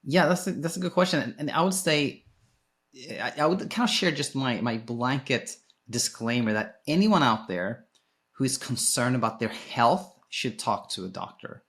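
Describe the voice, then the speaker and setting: A man's voice: medium pitch, expressive, moderate pace, fairly bright tone. Explanatory man from Sweden in conversation. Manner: explanatory, informal, rephrases as he goes. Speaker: sleep coach and former sleep physician, podcast guest. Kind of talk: conversational interview answers.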